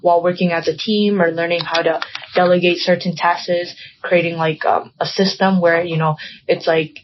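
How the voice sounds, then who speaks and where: A woman's voice: on the low side, flat delivery, moderate pace, dull audio, slight background noise. A young woman guest in a casual podcast chat, rambling and filling her talk with "like" and "um". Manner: rambling, heavy use of "like" and "um".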